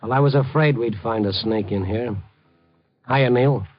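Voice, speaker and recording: A man's voice: medium pitch, moderate pace, soft background music, close to an everyday voice. An actor playing a streetwise, wisecracking newsman hero in a 1950s radio noir drama, with a dry, insistent, hard-boiled delivery. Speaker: lead character and narrator. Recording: dull, band-limited 1950s radio recording.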